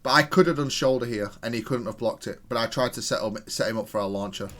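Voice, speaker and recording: A man's voice: medium pitch, quick pace, a bit bright. A man giving casual gameplay commentary, analytical and thinking aloud as he corrects himself while reviewing his own play. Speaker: video game player and content creator.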